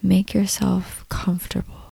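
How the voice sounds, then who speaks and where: A woman leading a guided meditation in a soft, breathy, calm voice, unhurried, with long pauses between short phrases. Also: mid-range voice, no echo, whispered. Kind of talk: slow guided meditation narration.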